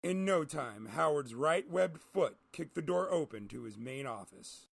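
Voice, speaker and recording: A man's voice: fairly high pitch, animated, unhurried, gravelly, slightly rough. A man narrating a scripted story.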